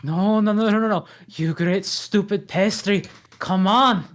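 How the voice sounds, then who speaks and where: A woman reading a gruff, grumpy ogre in a table read, barking blunt commands. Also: medium pitch, measured pace, lightly acted.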